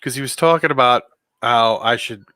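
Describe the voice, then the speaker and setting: A man with a deep voice chatting casually as a guest, hesitant and full of ums. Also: normal speed, bright, forceful tone, clean tone, no echo. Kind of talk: casual chat.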